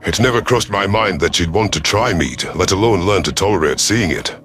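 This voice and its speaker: A man's voice: low-pitched, fairly quick, a bit bright, faint music behind. A man narrating a first-person science fiction story in a dramatic audiobook style.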